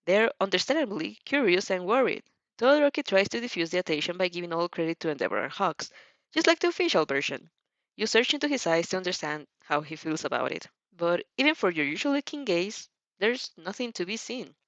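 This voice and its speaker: Lively woman reading stories, dull dry recording. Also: lowish voice, brisk, dry recording. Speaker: fan fiction reader. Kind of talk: animated second-person story narration.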